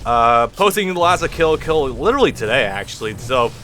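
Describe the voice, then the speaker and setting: A man hosting a let's play from his home computer, rambling frankly and swearing freely in a loud, projecting voice. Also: mid-range voice, sing-song, a little fast, slight background noise. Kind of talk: casual gameplay commentary. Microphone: computer recording mic.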